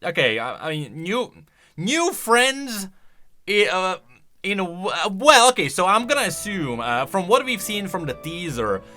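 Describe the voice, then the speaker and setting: A man's voice: high voice, sing-song, a little slow, pushed and bright, faint music behind. A man hosting casual video game commentary, emphatic and thinking aloud as he goes. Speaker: video creator and host.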